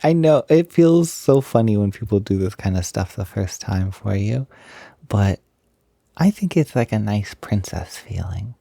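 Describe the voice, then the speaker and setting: A man acts out a gentle, doting young male roommate for an intimate audio roleplay. His voice is very dark and mellow, soft and breathy, recorded dry. He speaks slowly, with a hesitant, reassuring and gushing manner. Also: fairly low pitch, lively intonation, dry recording, exaggerated voice. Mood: playful, reassuring.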